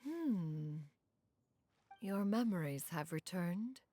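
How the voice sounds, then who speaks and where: A woman's voice: lowish voice, lively intonation, slow pace, warm tone. A woman voicing an aloof, dignified immortal in a video game, speaking formally in stately, archaic phrasing. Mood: grave, composed. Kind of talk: dramatic game voice acting.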